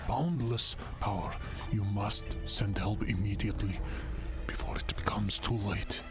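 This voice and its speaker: A man acting a fearful, embattled senator in an audio drama, grave, halting and desperate. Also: on the low side, steady tone, lightly acted. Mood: urgent, hushed. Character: fearful, embattled senator sending a secret warning. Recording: loud music under the voice.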